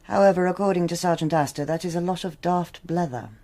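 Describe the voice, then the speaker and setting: An actress playing a brisk, sceptical senior policewoman with an authoritative manner in a radio crime drama. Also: fairly low pitch, dark tone, natural voice. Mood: sceptical, stern. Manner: crisp, authoritative, dry. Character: brisk, sceptical, commanding female police superintendent.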